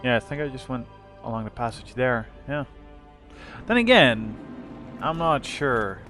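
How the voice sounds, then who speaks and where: A young man with a slightly rough voice talks casually over his gameplay, rambling and correcting himself as he goes. Faint music plays underneath his voice. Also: medium pitch, sing-song, a little slow, fairly bright tone. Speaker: let's play host.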